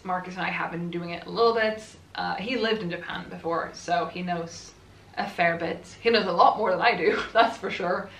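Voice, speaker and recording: A woman's voice: lowish voice, a bit bright, far from the mic, a little hiss or hum. A chatty Swedish woman in her twenties rambles along in English with a Swedish accent, laughing between thoughts. Her voice comes from a little away from the camera, and the room around her echoes. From Sweden. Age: 20s. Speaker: book vlogger. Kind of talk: casual chat while assembling furniture. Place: room at home.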